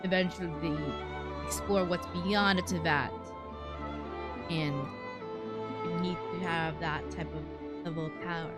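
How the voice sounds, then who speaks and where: A woman's voice: lowish voice, fairly even intonation, slow pace, long pauses, over loud music. A woman streamer giving casual, musing commentary on a game playthrough, hesitant but enthusiastic. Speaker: VTuber streamer.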